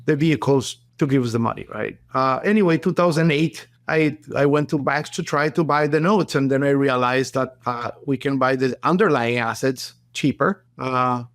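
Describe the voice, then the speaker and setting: A man's voice: mid-range voice, moderate pace, few pauses, a bit bright, no room sound. Middle-aged Colombian-accented man, dry close sound. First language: Spanish. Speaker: podcast guest, real estate entrepreneur. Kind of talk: casual anecdotal interview talk.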